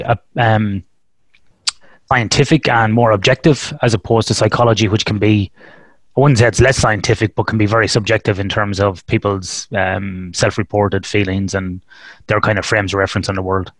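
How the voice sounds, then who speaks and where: A man's voice: fairly low pitch, steady tone, moderate pace, no echo. A man hosting a conversational podcast interview. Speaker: podcast host.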